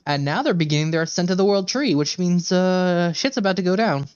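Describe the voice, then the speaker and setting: Low-key man streaming, dry muffled mic. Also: lively intonation, a little fast, darkish tone, smooth, dry recording. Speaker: live streamer. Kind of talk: casual livestream chat.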